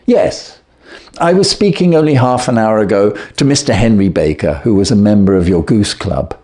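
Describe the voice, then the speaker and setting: Man playing Victorian detective, storybook, brisk, shrewd, self-assured, decisive, very varied intonation. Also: on the low side, lively intonation, darkish tone, close to an everyday voice. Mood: genial, probing. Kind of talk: storybook character voice.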